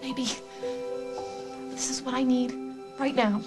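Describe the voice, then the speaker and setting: High-voiced, frightened, proud soap heroine. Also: female voice, fairly even intonation. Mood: pleading, insistent. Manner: halting, emotional, pleading. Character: frightened, ashamed woman ageing rapidly, stubborn and proud. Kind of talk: soap opera acting. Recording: TV drama with prominent music underneath.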